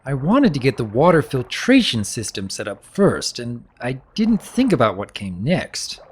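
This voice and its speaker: A man plays an earnest stallion baron from a fantasy pony tale. He is polite and a little prim, with a husky mid-range voice that leaps around dramatically in pitch. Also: huge pitch swings, normal speed. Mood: earnest, hesitant. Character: earnest, mild-mannered young stallion baron.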